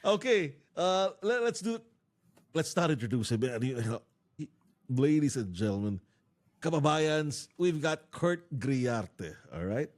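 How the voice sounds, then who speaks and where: A man's voice: fairly high pitch, very animated, unhurried. A man hosting a podcast in casual interview chat. He jokes, laughs often, and talks with many fillers and restarts. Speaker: podcast host.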